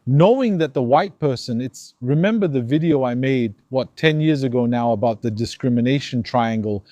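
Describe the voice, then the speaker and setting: A man's voice: medium pitch, moderate pace, no echo. Algerian-born guy ranting about the news, emphatic, dry close sound. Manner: animated, emphatic, acts out dialogues. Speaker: commentator. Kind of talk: opinion monologue.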